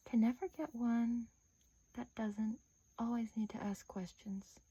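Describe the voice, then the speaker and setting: A sinister, teasing vampire woman, acted out by a woman in a soft-spoken ASMR roleplay. Her voice is breathy and mellow, often sinking into a whisper. She speaks very slowly, toying with her captive with sadistic playfulness. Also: fairly high pitch, no echo, lo-fi sound. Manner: slow, teasing, with drawn-out pauses.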